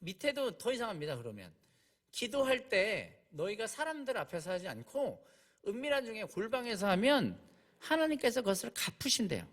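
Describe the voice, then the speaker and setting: A man preaching a sermon, emphatic, slowing down on key phrases and asking rhetorical questions. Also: high voice, a bit bright. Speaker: preacher, junior pastor.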